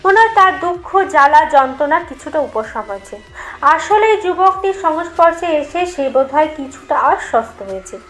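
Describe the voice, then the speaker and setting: A woman reading a scripted eerie story as a narrator, in an expressive, measured storytelling manner. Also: high-pitched, low-quality recording. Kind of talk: dramatic scripted narration of a horror tale.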